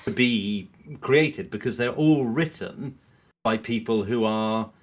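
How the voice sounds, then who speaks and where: A man with a slightly low voice talks as a guest on a podcast. He explains his views casually and loosely, circling round each point with many qualifications. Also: slow. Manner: rambling, hedging, acts out imagined quotes. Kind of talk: casual chat, explaining opinions.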